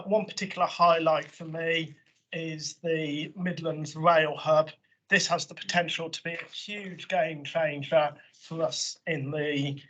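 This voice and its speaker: A man with a croaky voice, a councillor presenting a report at a committee meeting in a chatty manner with informal asides. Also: on the high side, a bit bright. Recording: muffled lo-fi meeting audio.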